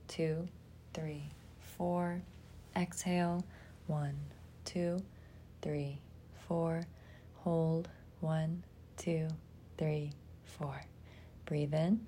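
Female meditation guide, soft and mellow, low register, breathy phrase endings, faint rumble behind. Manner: soft, soothing, often trailing into breathiness, with long pauses.

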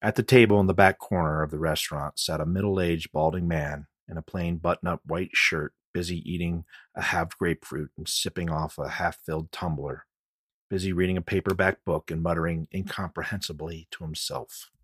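A man's voice: low-pitched, fairly even intonation, normal speed, no room sound, studio quality. A man reading a scripted mystery audiobook as its author and narrator.

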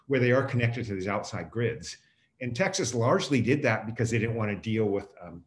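An American man speaks as a guest expert in an interview. His voice sits at an ordinary middle pitch, and he explains things in a conversational, informal manner. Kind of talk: casual explanatory interview answers.

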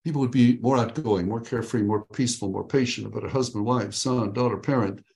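Man, workshop leader on a video call from home, upbeat and joking, middle pitch, dictates key phrases slowly. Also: gravelly, a little mellow. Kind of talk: coaching a group on a video call. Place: his home.

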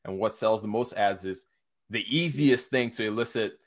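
A young man hosting a podcast interview, talking in a casual, slangy, animated way and laughing often. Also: on the low side, normal speed. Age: young adult. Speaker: podcast host.

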